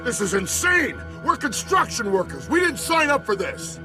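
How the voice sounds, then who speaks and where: An actor playing a panicky, defiant construction crew boss in a video game. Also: male voice, very high voice, very animated, moderate pace, music in the background, heavily acted. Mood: panicked. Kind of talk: scripted game cutscene dialogue.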